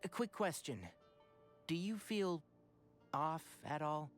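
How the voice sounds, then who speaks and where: A man voicing a young forest ranger in a video game, a calm, scholarly leader with a measured, precise way of instructing. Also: fairly high pitch, soft background music. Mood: calm, cautious. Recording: clear background game ambience noise.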